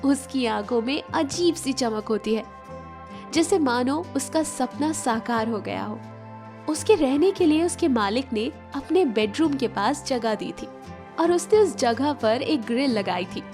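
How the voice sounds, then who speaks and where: High-voiced woman narrating a story over music. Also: a little mellow. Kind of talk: scripted emotional storytelling narration. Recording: music bed behind narration. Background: background music.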